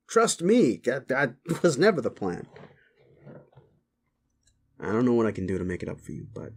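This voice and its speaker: Man acting a slow, low-voiced gruff werewolf boss. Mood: grudgingly sincere. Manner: rough slangy, grudgingly tender, halting. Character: gruff sleazy male werewolf boss, secretly soft, bullying tsundere. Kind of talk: intimate roleplay audio drama acting.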